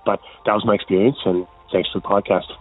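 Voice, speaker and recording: Low-voiced Canadian man on a tinny phone line. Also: fairly even intonation, quick pace, slightly rough, faint music behind. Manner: casual, rambling with fillers. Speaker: caller. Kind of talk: recorded voicemail story.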